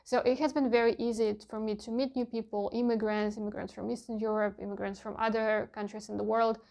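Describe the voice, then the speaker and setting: A young woman whose first language is Russian, talking candidly in a casual vlog and often pausing with fillers as she hunts for words. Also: fairly high pitch, flat delivery, vocal fry, a little mellow. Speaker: vlogger.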